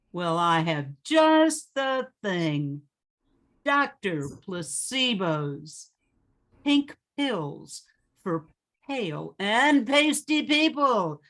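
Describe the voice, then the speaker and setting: Woman acting swooping, emphatic radio pitch announcer. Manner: emphatic salesmanship, drawn-out product name. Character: enthusiastic old-time radio huckster announcer, female. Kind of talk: old-time radio commercial parody.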